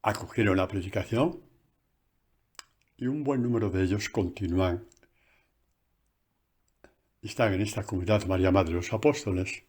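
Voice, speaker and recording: Husky-voiced priest preaching casually. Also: male voice, on the low side, moderate pace, long pauses. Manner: conversational, animated preaching with asides. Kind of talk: sermon catechesis. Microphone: phone.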